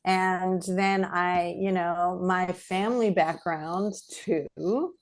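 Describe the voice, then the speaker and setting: A woman's voice: fairly low pitch, steady tone, extremely slow. A middle-aged woman from South Africa, a filmmaker guest chatting casually in an interview, rambling with many fillers and restarts.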